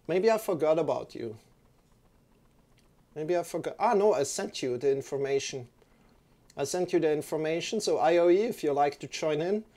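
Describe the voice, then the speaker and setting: A man's voice: a little high, lively intonation, normal speed, long pauses, mellow. A young German man, a yoga teacher, sitting on a mat indoors and chatting casually with livestream viewers, friendly and rambling, restarting his sentences often. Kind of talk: casual chat with live viewers.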